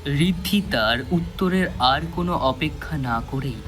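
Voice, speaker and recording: A man narrating a scripted thriller story with measured, dramatic phrasing and pauses. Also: on the high side, lively intonation, fairly bright tone. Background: background music.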